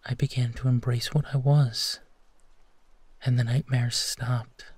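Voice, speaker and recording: A man playing a gentle, protective werewolf who tenderly reassures his partner in an intimate bedtime roleplay, leaving long pauses. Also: mid-range voice, little pitch movement, husky, no echo. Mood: reflective, soft. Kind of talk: intimate roleplay audio, soft bedtime comfort.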